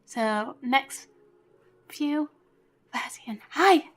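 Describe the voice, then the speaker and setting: Slow, high-pitched woman streamer, excitable and chatty. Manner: excitable, giggly, chatty, half talking to herself. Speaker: game streamer. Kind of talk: casual livestream chat while playing a video game.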